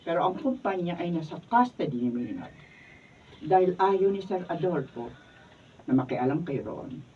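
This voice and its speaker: Performer as composed lawyer, lively intonation, muffled far-off studio take. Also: medium pitch, moderate pace, slight background noise, lightly acted. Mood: explaining. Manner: calm, explanatory, formal. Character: family lawyer handling a will. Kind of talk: radio drama acting. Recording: muffled and distant.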